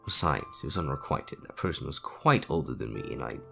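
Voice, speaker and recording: A man acts out a calm, wise older wizard headmaster in an audio story. The voice is very deep and creaky, speaking in measured, sober phrases that rise and fall expressively. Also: soft background music, heavily acted. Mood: wistful. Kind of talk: dramatic fan fiction audio reading.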